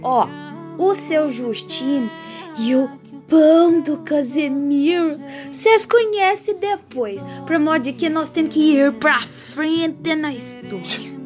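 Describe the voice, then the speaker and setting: A woman's voice: high voice, slow pace, a little mellow, soft background music. A woman playing a folksy, wry narrator of a comic radio soap, theatrical, with a rural Brazilian accent. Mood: wry, dramatic.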